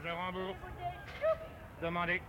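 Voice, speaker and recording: High-pitched man calling out like a vendor. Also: monotone, a little slow, over music. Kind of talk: calling out a sales cry.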